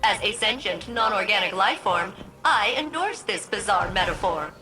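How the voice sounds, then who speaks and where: A woman's voice: medium pitch, animated, normal speed, over music, lightly acted, poor audio. A woman voicing a cheerful, quirky female artificial intelligence in a video game, bubbly yet literal and precise in her wording. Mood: bright, pleased. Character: cheerful, quirky female ship AI, eager and sincere. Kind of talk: video game cutscene acting.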